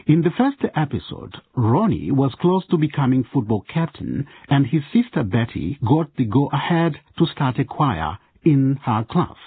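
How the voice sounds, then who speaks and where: A man's voice: medium pitch, sing-song, normal speed, few pauses, husky, dry recording, phone-quality sound. A man hosting and narrating a radio drama with scripted delivery.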